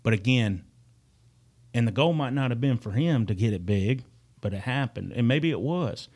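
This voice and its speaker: A man's voice: medium pitch, animated, darkish tone. A man talking as a guest musician in a casual podcast chat, earnest and often stumbling over his words. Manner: halting, repeats words, earnest.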